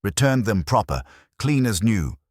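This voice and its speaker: A man's voice: lowish voice, very animated, a little slow, no echo, studio quality, voice pushed up. A man voicing an earnest, defensive technician's assistant in a mystery story, explaining plainly in clipped phrases.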